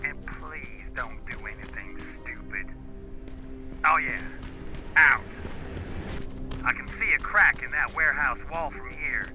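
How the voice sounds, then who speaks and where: An actor playing a cocky, foul-mouthed resistance lookout in a video game, flippant, sneering and slangy. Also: male voice, medium pitch, slow, pauses often, over music, close to an everyday voice. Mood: flippant, bored. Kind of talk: video game drama acting.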